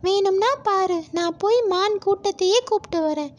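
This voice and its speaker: A woman voicing a timid but cunning little fawn in a children's storybook, with a small childlike squeak and an anxious, coaxing manner. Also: normal speed. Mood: cunning, persuading.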